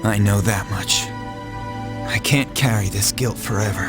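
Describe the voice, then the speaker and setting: Low-voiced, brooding young fighter. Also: male voice, measured pace. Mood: resolute, weary. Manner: blunt, terse, earnest. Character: tough, brooding young male fighter wracked with guilt. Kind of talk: dramatic video game voice acting. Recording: loud music behind the voice. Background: music.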